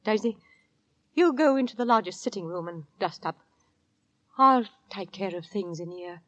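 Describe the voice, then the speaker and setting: A woman's voice: mid-range voice, lively intonation, normal speed, a bit bright, muffled recording, natural voice. An actress playing an anxious, stern middle-aged Victorian London landlady and mother, nervous and reproving, in 1940s radio drama style. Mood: uneasy, stern.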